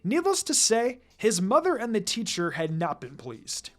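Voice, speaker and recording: A narrator reading a story aloud in an expressive, dramatic audiobook style. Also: mid-range voice, normal speed. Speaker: fan fiction reader, narrator. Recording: music behind the voice. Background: background music.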